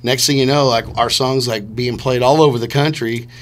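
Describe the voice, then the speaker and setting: A man's voice: mid-range voice, steady tone, normal speed, a little hiss or hum. A middle-aged man chatting casually and enthusiastically, telling rambling stories as a musician guest on a radio show. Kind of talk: casual chat. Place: small radio studio.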